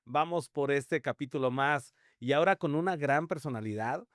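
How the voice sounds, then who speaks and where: A man's voice: on the high side, normal speed, fairly bright tone, dry recording. A man hosts a podcast, opening the show and introducing his guest. He has a mid-range voice recorded with a dry, close sound. His manner is easygoing and joking. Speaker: podcast host. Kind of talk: friendly interview hosting.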